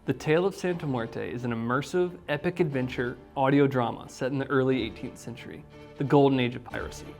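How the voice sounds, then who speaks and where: Creaky-voiced American man over music. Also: medium pitch, a little fast, darkish tone. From North Carolina, United States. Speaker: audio drama creator. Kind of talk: promotional interview commentary.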